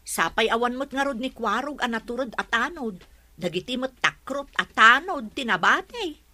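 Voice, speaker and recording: A mocking village councilor in an Ilocano-accented radio comedy. She is blunt and needling, with a high, sharp voice recorded dry and close. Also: animated, normal speed, no echo, heavily acted. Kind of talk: radio comedy drama acting.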